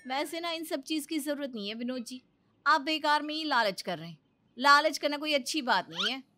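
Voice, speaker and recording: Woman acting an indignant, high-pitched village wife. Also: brisk. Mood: disapproving. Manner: sharp-tongued, reproachful, expressive. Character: indignant young village wife, scolding her husband. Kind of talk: acted children's moral story.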